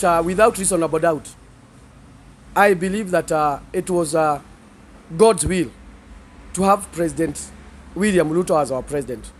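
High-voiced middle-aged Kenyan pastor. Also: male voice, slight background noise. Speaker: pastor being interviewed. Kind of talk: earnest interview talk. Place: seated indoors in a small studio set. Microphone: handheld interview microphones held by the interviewer.

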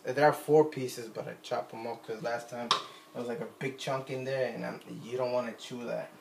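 A man's voice: medium pitch, little pitch movement, normal speed, vocal fry, very noisy. A man in his thirties with a Puerto Rican accent, chatting in a rambling, self-deprecating way while making a drink in his kitchen, filmed on a phone camera on the counter. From Puerto Rico. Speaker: vlogger. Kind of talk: casual chat while cooking. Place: home kitchen, indoors. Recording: echoey kitchen with faint ambience.

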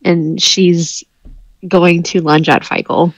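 A woman's voice: fairly low pitch, level tone, extremely slow, slightly rough, no room sound, poor audio. A woman playing a tabletop roleplaying game, describing her character's actions in casual, improvised speech.

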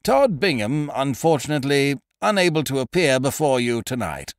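A man voicing a nervous, pompous male theatre announcer who calls out loudly and drops his H's. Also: big pitch swings, no room sound, studio quality. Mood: flustered announcing. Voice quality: loud, high and drawn-out, dropping his H's.

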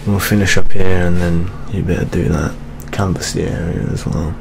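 A young man playing a jaded, world-weary British police detective in an ASMR roleplay, speaking in a hushed, deliberate manner. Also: low voice, vocal fry. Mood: businesslike, sombre. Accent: British English. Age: 20s. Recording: steady rain ambience noise. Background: rain sounds.

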